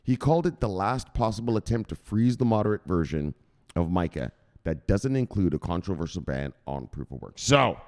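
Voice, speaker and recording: A man with a lowish voice hosts a crypto news commentary and rants through the news in a profane, emphatic way. His pitch swings widely as he punches his points. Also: darkish tone. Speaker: crypto news channel host.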